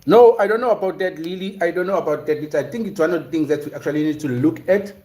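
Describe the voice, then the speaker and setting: A man's voice: fairly high pitch, fast, warm tone. A man from South Africa hosting a casual livestream, talking through a history topic and reading viewer comments, with lots of fillers and restarts. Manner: hesitant, many fillers and restarts. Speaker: livestream host. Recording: roomy echo.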